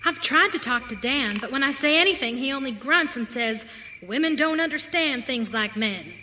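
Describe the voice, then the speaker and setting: Actress as a high, bright, hopeful pioneer woman. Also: expressive, normal speed, a little hiss or hum. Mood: earnest. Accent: American. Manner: folksy frontier dialect. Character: Jean, hopeful young pioneer woman, wistful and gentle-natured. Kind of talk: live western radio theater acting.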